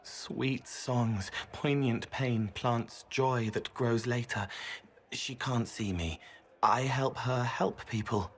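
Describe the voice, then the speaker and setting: An actor playing a gentle, otherworldly young man in a video game, speaking in cryptic, poetic fragments. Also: medium pitch, slow, slight background noise, natural voice. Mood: gentle, earnest.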